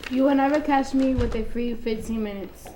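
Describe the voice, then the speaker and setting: A woman's voice: on the high side, fairly even intonation, moderate pace, background noise. A young teenage girl, a middle school student, reading aloud in a dramatic style. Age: young teen, middle school student. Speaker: student reader.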